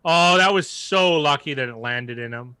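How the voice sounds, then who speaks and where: A man's voice: on the high side, normal speed, sharp tone, clean tone, dry recording. A man co-hosting a casual sports watch-along, rambling loosely and conversationally as he talks. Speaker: sports livestream co-host.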